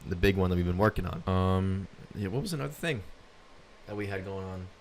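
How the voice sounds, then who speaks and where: Young american guy with a lowish voice, just chatting casually on his podcast. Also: brisk, slight background noise, rough recording. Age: young adult. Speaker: podcast co-host. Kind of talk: casual chat.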